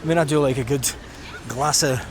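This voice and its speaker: A man's voice: medium pitch, a little fast. A middle-aged man with a Scottish accent chatting casually and reflectively as he vlogs while walking. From Scotland. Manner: reflective, informal, conversational. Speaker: vlogger. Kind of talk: casual rambling vlog chat while walking. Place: outdoors, walking along a busy seafront. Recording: outdoor street noise with traffic.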